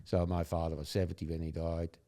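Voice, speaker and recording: A man's voice: low-pitched, monotone, fairly quick, dark tone. An older man from the Netherlands with a Dutch accent, telling stories in casual chat, chuckling often and rambling with tag questions. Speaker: guest.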